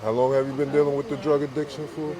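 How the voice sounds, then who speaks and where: Street interviewer amid a crowd. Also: male voice, mid-range voice, little pitch movement, quick pace. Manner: short friendly questions in street slang. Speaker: street interviewer, channel host. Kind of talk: casual interview questions. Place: outdoors on a busy city street. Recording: outdoor street recording with passersby.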